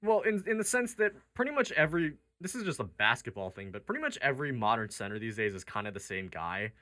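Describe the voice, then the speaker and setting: A man's voice: medium pitch, big pitch swings, fast, sharp tone, no room sound. A man hosting a sports radio show, talking conversationally about basketball. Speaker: sports talk show host.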